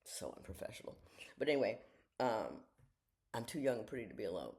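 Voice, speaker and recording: A woman in her sixties with a gravelly edge to her voice, her pitch rising and falling freely as she talks. She speaks in a frank, folksy way and often slips into soft, half-whispered asides. She is at home in the early morning, leaning toward the camera. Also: big pitch swings, fairly quick. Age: 60s. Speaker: retired judge giving life advice. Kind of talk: casual reflective talk to viewers. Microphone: camera she leans toward.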